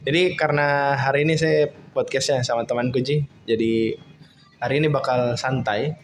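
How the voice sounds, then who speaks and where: Seorang pria muda dengan logat Makassar, membawakan podcast obrolan santai dengan gaya bercanda. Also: male voice, mid-range voice, steady tone, soft background music. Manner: playful, joking, quick banter. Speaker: podcast host. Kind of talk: casual podcast chat.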